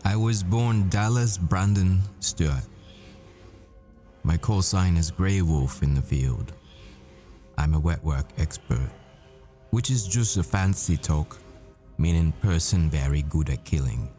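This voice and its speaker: A man's voice: sits low, takes it slowly, pauses often, warm tone, soft background music. A man playing a secret hitman who poses as a professor, a smitten and haunted boyfriend in an intimate audio roleplay monologue. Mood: grave, confessional.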